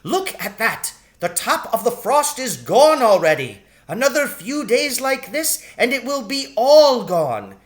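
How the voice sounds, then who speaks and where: A tiny lady shrew acted out by a man grumbles her way through a storybook, pitched far up high. She is sharp-witted and short-tempered, and brisk in how she exclaims. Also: big pitch swings, exaggerated voice. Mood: indignant, warning. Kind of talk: storybook character voice in an audiobook reading.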